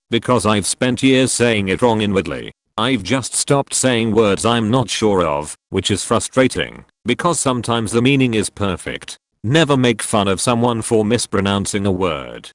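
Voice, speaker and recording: Low-voiced man reading posts aloud. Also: no echo, clean studio sound. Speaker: narrator reading forum posts. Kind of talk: scripted reading of online comments.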